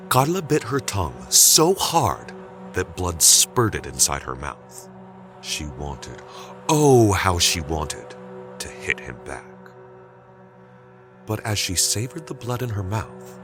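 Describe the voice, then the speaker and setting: A man narrating a scripted dramatic story deliberately, with suspenseful pauses. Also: deep voice, huge pitch swings, music in the background.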